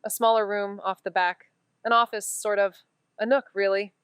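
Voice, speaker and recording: A woman's voice: mid-range voice, fairly even intonation, normal speed, a bit bright, smooth, no echo, close to an everyday voice. A woman playing an earnest amateur investigator in an audio drama, with a casual, thinking-aloud way of talking. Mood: reflective narration. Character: Amy, eager young woman amateur investigator who narrates. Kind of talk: audio drama dialogue and first-person narration.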